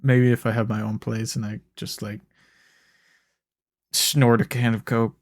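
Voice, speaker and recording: A young man giving laid-back gameplay commentary in a flat, monotone ramble, his voice a bit hoarse and rough. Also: measured pace, dry recording, studio quality. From Canada. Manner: monotone, low-key rambling. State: slightly hoarse. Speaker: gaming YouTuber. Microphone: desk microphone.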